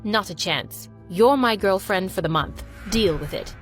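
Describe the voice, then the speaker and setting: A woman's voice: medium pitch, expressive, a little slow, soft background music, lightly acted. A woman voicing a smug, nerdy teenage boy who affects a sophisticated British accent in a dramatized story. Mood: exasperated, firm. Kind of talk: dramatized first-person story.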